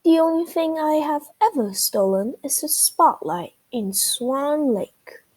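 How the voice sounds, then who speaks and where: A woman's voice: high-pitched, expressive, slow, a little mellow, voice pushed up. A woman voicing a haughty, theatrical swan in a children's storybook.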